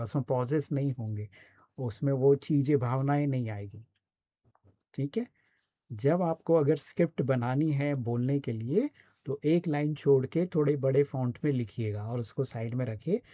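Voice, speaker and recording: A man's voice: medium pitch, mellow, no room sound, lo-fi sound. A man with an Indian accent coaching in a conversational, explanatory way, often checking in with short questions. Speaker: voice and radio scriptwriting coach. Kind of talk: conversational online teaching.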